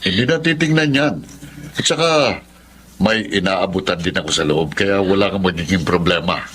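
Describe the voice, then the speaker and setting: A man's voice: low-pitched, normal speed, a bit bright, slight background noise. A man with a Filipino accent playing a cruel, impatient employer in a radio drama, bossy, curt and intimidating. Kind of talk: Tagalog radio drama acting.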